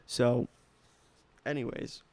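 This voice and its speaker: A man's voice: slow pace, darkish tone, no echo. A man of nineteen hosting a podcast, talking casually and enthusiastically with frequent restarts. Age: young adult. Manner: rambling, enthusiastic, restarts often. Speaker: podcast host. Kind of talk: casual solo talk about music.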